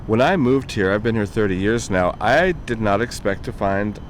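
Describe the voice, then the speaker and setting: A man from the American Southwest hosts a friendly, chatty interview. His voice sits low. Traffic and street noise run clearly underneath. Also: lively intonation, normal speed. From Southwest US, northern New Mexico and southern Colorado. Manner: friendly, chatty, laughs easily. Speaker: interview host. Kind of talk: casual interview chat. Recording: noisy background with traffic.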